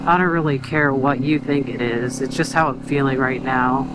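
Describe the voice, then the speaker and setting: A man's voice: mid-range voice, steady tone, moderate pace. A young man vlogging from his car, talking in a hesitant, rambling, reflective way full of fillers and restarts. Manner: quiet, reflective. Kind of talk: casual venting while driving. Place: in a car on the road. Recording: road and engine noise behind.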